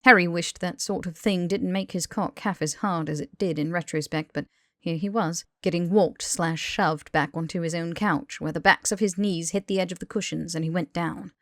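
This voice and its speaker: A woman's voice: lowish voice, steady tone, normal speed, hardly any pauses, no echo, clean studio sound. A woman reading fiction aloud as an audiobook narrator, in a scripted storytelling style.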